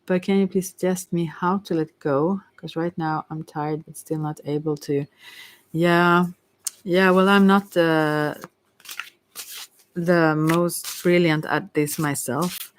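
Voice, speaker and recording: A tarot card reader talking informally to listeners in a halting, rambling way, often stumbling over words. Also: medium pitch, fairly even intonation.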